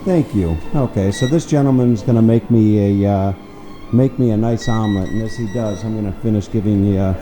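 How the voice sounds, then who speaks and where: A man giving an upbeat, chatty walking tour of a hotel, often pausing with "uh" and repeating himself. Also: fairly low pitch, mellow, faint music behind. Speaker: travel vlogger touring a hotel. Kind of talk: casual walking tour narration. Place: hotel breakfast hall and lobby, indoors.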